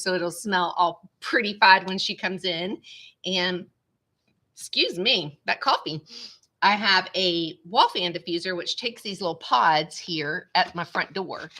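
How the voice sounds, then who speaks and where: A woman's voice: fairly low pitch, bright, forceful tone. Chatty woman with a stuffy nose. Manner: chatty, rambling, says "y'all" often. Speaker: vlogger hosting a live stream. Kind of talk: casual live-stream chat to viewers. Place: at home at her computer. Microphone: small webcam.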